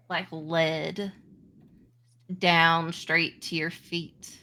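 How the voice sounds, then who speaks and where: Slow-paced, bright-voiced woman narrating. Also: low voice, fairly even intonation. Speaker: game master. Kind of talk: improvised tabletop game narration.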